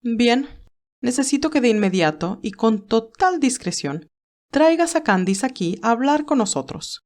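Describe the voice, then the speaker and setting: A woman's voice: fairly high pitch, sing-song, unhurried, a little mellow, pitched above the performer's own voice. A woman voicing a severe, imperious elderly matriarch in an audiobook, curt, formal and commanding. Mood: stern, commanding. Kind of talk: audiobook character dialogue.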